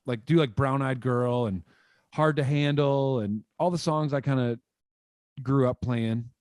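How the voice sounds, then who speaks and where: A man in his thirties from Michigan tells stories of his early years as a musician, talking in loose, rambling bursts full of fillers. His voice sits at an ordinary middle pitch, close and dry on a boom-mounted studio mic in a small room at home. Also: unhurried, no room sound. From Michigan, USA. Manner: animated, rambling, lots of "like" fillers and gestures. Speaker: guest musician. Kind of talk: casual interview storytelling. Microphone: studio mic on boom arm, video call.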